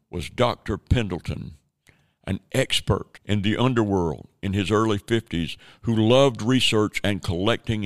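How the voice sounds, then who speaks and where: A man narrating an audio drama in a measured storytelling way. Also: on the low side, smooth, no echo, studio quality. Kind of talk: scripted narration.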